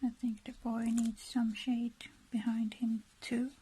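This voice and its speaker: A native Swedish-speaking woman from Finland with a Finland-Swedish accent and a soft, breathy, husky voice, narrating her crafting casually in hesitant, unhurried murmured asides. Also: on the high side, little pitch movement. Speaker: craft vlogger.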